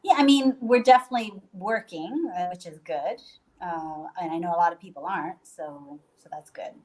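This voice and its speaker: A woman's voice: on the low side, moderate pace, a little mellow, low-quality recording. Chatty woman on a video call. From Puerto Rico. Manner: chatty, loose, full of fillers and restarts. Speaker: guest, hostel owner. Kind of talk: casual video call interview. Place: bedroom in a guesthouse.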